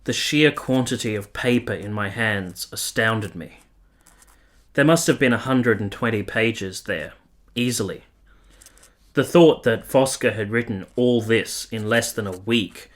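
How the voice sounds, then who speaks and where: An actor playing an earnest, reflective nineteenth-century gentleman in a radio drama, with measured, formal phrasing. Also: mid-range voice, close to an everyday voice. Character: earnest, reflective gentleman of 1870s Italy, troubled lover. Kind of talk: radio drama dialogue and first-person narration.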